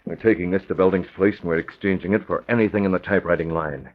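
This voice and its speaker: An American actor playing a cool, methodical, commanding masked vigilante in 1940s radio style, deep and husky. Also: male voice, steady tone, fast talker, slight background noise, close to an everyday voice. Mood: intent. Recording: dull vintage radio recording.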